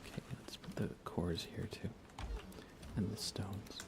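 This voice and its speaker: A young man softly murmuring on an ASMR gaming livestream in an unhurried, casual way, often close to a whisper, near the mic. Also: low-pitched, fairly even intonation, background noise. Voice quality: soft, hushed, often slipping into breathy whisper. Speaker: livestream host.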